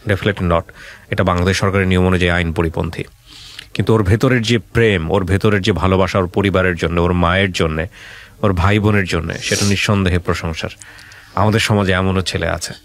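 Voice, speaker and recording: A man hosting a talk show, interviewing a guest and earnestly offering advice. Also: deep voice. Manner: earnest, gently advising. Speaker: radio show host. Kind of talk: interviewing a guest and reflecting on his story.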